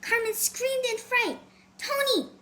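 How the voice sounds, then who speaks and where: A woman voicing a feisty, stubborn twelve-year-old girl in a storybook, excitable and snappy. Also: very high-pitched, takes it slowly, a little hiss or hum, voice pushed up, heavily acted. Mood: frightened.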